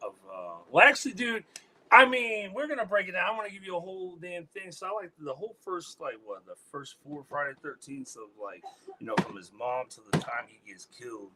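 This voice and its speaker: A man's voice: very animated, fairly quick, bright, forceful tone, slight background noise. A man being interviewed as a guest musician, talking in a laid-back way, swearing a lot and trailing off into fillers. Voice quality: deep voice. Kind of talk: casual interview chat.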